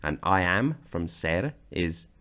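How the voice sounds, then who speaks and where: A man from Britain narrating a slideshow grammar lesson, explaining slowly and deliberately. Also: sits low, lively intonation, fairly bright tone. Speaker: language teacher.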